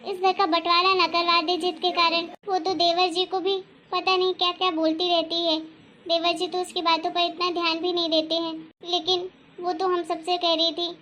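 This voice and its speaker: Woman playing a very high-pitched, plaintive cartoon housewife. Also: pushed and bright. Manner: plaintive, fretful, complaining. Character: worried, soft-hearted young housewife, mother and elder sister-in-law. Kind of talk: cartoon family drama voice acting.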